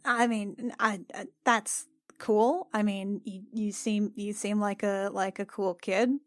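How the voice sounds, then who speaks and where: A woman's voice: mid-range voice, fairly even intonation, no echo, close to an everyday voice. Woman playing an awkward, wry young adventurer, dry close sound. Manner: hesitant, halting, colloquial.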